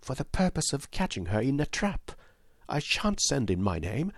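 A man's voice: medium pitch, big pitch swings, normal speed, no room sound, studio quality, voice pushed up. A man reading an audiobook, voicing a nervous yet determined widow in an earnest, dignified manner. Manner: earnest, dignified, rising to indignation. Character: timid but determined widow, adult woman. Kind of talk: audiobook reading of a classic novel.

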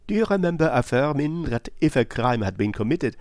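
A man voicing a shrewd, calm and courteous detective in an audiobook, with a measured, probing manner. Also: mid-range voice, no room sound, voice pushed up, natural voice. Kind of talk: audiobook character voice.

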